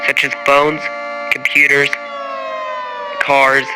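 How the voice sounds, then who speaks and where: Guy playing emergency announcer, flat halting official read, really loud music in the back. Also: mid-range voice, natural voice, low-quality recording. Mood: serious, official. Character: official male emergency announcer in disaster scenario. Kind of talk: emergency announcement in an alert scenario.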